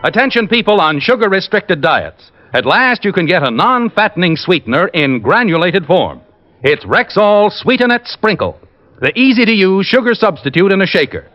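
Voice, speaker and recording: High-pitched American vintage radio announcer. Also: male voice, big pitch swings, moderate pace. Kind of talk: 1950s scripted radio announcing and advertising. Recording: muffled old radio recording. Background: prominent music.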